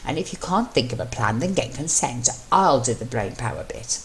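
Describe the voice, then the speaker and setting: A woman voicing a confident, coaxing husband in a fan fiction reading, steady, gruff and commanding. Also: a bass voice, normal speed. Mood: calm, challenging. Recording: noisy amateur home recording.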